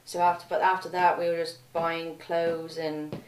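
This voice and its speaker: Echoey, low-voiced young woman, informal and hesitant. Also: flat delivery, normal speed, recorded from a distance. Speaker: young mother being interviewed. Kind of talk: candid documentary interview. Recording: echoey room with faint background noise.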